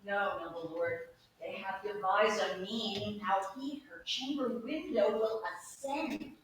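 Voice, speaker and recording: A woman playing a scheming young gentleman who informs on a friend in a staged Shakespeare reading, with a deliberate, confiding theatrical delivery. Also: medium pitch, natural voice. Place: staged reading in a large echoey room. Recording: echoey hall from far off, faint noise.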